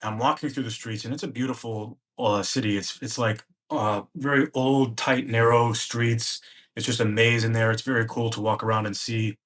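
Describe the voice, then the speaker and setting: A man's voice: mid-range voice, fairly even intonation, moderate pace, a bit bright. A man, a podcast guest, recounting a personal travel story in present tense and acting out the dialogue he remembers. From United States.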